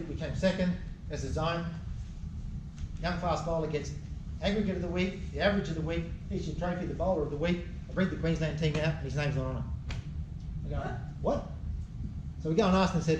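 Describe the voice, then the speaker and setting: A man's voice: a little high, quick pace. An Australian man giving a blunt, emphatic speech at a public forum, firing rhetorical questions at the audience. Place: public meeting room. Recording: echoey, distant mic, background noise.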